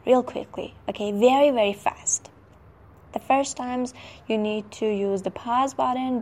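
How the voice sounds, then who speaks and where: Turkish-native lady teaching english, talks real slow, keeps saying okay. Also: mid-range voice, a little mellow, slight background noise. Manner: emphatic, checks in with "okay?" often. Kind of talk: spoken lesson outline.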